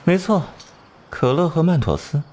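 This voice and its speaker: An actor voicing a cold, aloof genius detective in an animated drama, lecturing with mocking detachment. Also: male voice, a little high, moderate pace, slight background noise, lightly acted. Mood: smug, probing. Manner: mocking, lecturing, coolly rational. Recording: music behind the voice.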